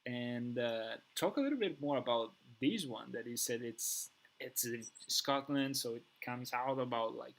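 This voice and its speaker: A man's voice: mid-range voice, low-quality recording. A man hosting a live online session, asking questions with lots of restarts and fillers. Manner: hesitant with many restarts and fillers. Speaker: host. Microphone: video call.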